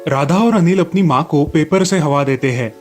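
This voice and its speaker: Guy narrator telling kids moral stories, voice a bit high, scripted, soft music under it. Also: normal speed.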